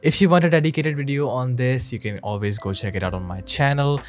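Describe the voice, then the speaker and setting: A man presents a step-by-step tech tutorial as a voice-over, speaking at a medium pitch. He addresses viewers directly in an upbeat, instructional way. Music plays clearly behind his voice. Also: brisk. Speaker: YouTube tech tutorial host. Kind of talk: scripted software tutorial voice-over. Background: background music.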